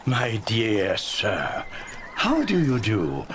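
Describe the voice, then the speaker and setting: A man's voice: on the low side, wildly swooping pitch, very slow, a bit bright, a little hiss or hum, full character voice. A man playing an elated, kindly old reformed miser in a dramatized audiobook, gushing and stammering. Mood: earnest, grateful.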